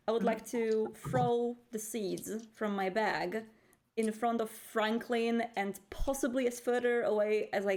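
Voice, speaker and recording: A woman with an average-pitched, creaky voice speaks as a player in a tabletop game. She improvises descriptions of her character's actions in her own voice. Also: a little mellow.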